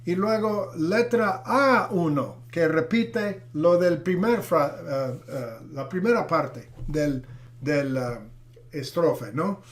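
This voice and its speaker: A middle-aged man, a pastor who is not a native Spanish speaker, teaching a Bible class with many pauses, fillers and restarts. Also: a little high, lively intonation.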